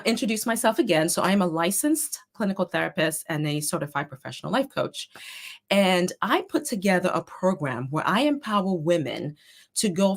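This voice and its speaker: A middle-aged woman speaks as a guest presenter, her voice a little hoarse and lowish. She explains ideas in a steady, earnest way, like a therapist walking a group through a concept. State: slightly hoarse. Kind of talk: prepared talk to an online group.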